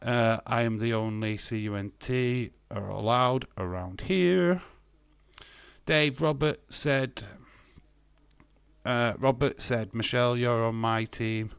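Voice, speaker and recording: A man's voice: mid-range voice, slow, gravelly, clean tone, no echo. A man in his fifties hosting a live stream, reading chat comments aloud and answering them bluntly with sarcasm and frequent swearing. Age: 50s.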